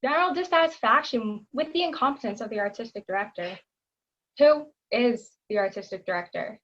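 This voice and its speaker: A woman's voice: fairly high pitch, expressive, a little fast, fairly bright tone. A young woman playing a blunt, unimpressed radio production assistant in a scripted comedy, with a matter-of-fact delivery. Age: young adult. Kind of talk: scripted comedy stage acting. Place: room at home. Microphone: video call. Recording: dull, lo-fi video call audio.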